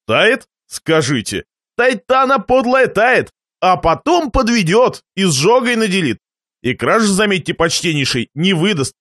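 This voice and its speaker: A man's voice: high voice, big pitch swings, a little slow, fairly bright tone, no echo, studio quality, heavily acted. A man voicing a jovial, gluttonous guest in a satirical story, pompous and shameless, savouring and lecturing expansively. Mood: gleeful, lecturing. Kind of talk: dramatised reading of a satirical short story.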